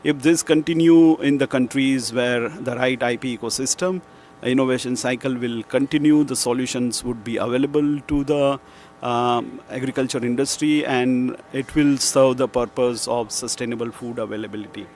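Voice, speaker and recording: A man's voice: medium pitch, fairly even intonation, moderate pace, darkish tone, a little hiss or hum. A man finishing a conference presentation, polite and full of thanks, with small fillers. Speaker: panel speaker.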